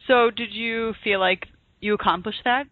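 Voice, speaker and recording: A woman's voice: a little high, fairly even intonation, moderate pace, no echo. A woman hosting an interview, asking questions in a friendly way with brief replies. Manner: friendly, brief responses, laughs along. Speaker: host. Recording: dry tinny phone-like line.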